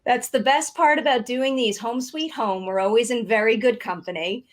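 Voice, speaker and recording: A woman's voice: fairly high pitch, moderate pace. A woman hosting a friendly interview in a chatty, upbeat way. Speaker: interview host.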